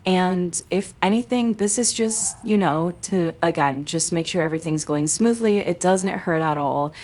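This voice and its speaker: A woman's voice: on the low side, moderate pace, a little mellow, natural voice. A woman playing a firm, protective and reassuring mother in an amateur roleplay drama, composed and assertive. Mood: gentle, reassuring.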